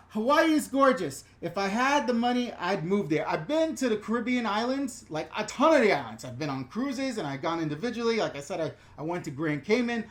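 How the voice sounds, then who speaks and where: A middle-aged man with a New York accent hosts a casual live question-and-answer stream. He talks in an animated, rambling way, swearing freely and wandering off on tangents before circling back to the chat. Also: high-pitched. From New York/New Jersey. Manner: animated, profane, rambling with digressions and self-corrections.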